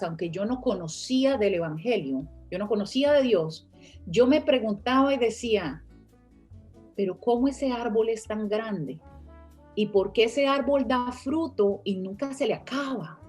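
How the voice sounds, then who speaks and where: A woman's voice: on the high side, darkish tone. A woman from Rica, a finance teacher speaking as the guest in an online interview and explaining her ideas step by step. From Costa Rica.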